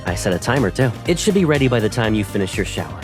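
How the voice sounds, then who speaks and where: An actor playing a caring, attentive young boyfriend in a romance game, talking on the phone in a soft, reassuring way. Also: male voice, fairly low pitch, big pitch swings, racing, music in the background. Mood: caring, playful.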